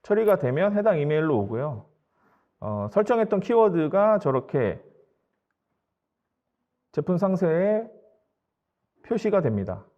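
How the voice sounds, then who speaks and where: A man with a slightly high voice delivers a formal webinar presentation. He explains things politely and matter-of-factly, like a company presenter walking through slides. The audio is somewhat dull and lo-fi. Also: big pitch swings, a little slow, long pauses, mellow.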